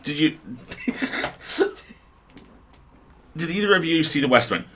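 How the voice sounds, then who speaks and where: A man's voice: a little high, expressive, unhurried, a loud crowd. A man co-hosting a casual livestream conversation, wry and sweary, repeating words for emphasis. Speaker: co-host and writer. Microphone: video call.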